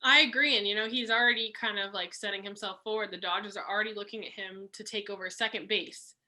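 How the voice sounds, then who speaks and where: Bright-voiced young woman co-hosting, slightly raspy. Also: medium pitch, steady tone, fast, rough recording. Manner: conversational, asks questions, trails off quietly. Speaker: podcast co-host. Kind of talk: casual sports talk.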